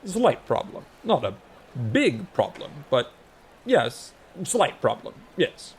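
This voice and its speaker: A man's voice: mid-range voice, big pitch swings, slow, slight background noise. A man voicing an anxious, deferential male assistant to a god in a comic fantasy audiobook, hesitant and polite. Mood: hedging.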